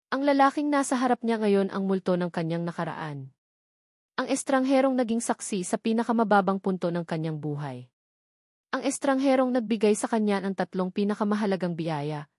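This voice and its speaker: A woman narrating a scripted romance drama in an expressive, melodramatic storytelling manner. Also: medium pitch, no room sound, studio quality.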